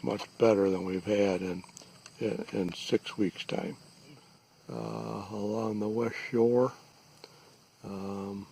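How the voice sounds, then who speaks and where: A man giving a halting, deliberate, matter-of-fact informal field narration outdoors, recorded on a handheld device. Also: fairly low pitch, creaky, mellow, rough recording. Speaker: lake resident surveying an algae bloom. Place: outdoors, out on a small lake. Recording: faint outdoor background noise.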